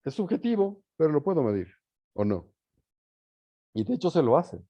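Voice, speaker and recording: A man teaching a class in an informal lecture style, pausing to think and often checking understanding with short tag questions. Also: on the high side, sing-song, dark tone, no room sound, dull audio. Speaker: university teacher leading an online or live class.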